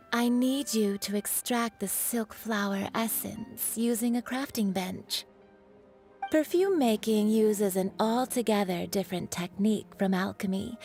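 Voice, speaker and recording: A woman's voice: fairly high pitch, darkish tone. A playful young woman who makes perfume in a fantasy game. She is teasing and coy, yet explains things like a patient teacher. Game music plays behind her voice. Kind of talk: video game voice acting. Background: background game music.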